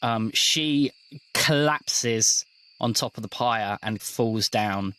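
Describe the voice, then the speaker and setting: A man acting as game master, narrating an improvised tabletop game in a casual, halting way. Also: mid-range voice, bright, forceful tone.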